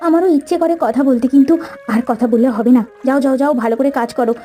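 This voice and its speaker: Cartoon heroine, acted by a woman: loving young sweetheart, tender, high pitch, quick pace. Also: dark tone, faint music behind, natural voice. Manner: tender, coaxing. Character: devoted, affectionate young woman in love. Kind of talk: acted cartoon story dialogue.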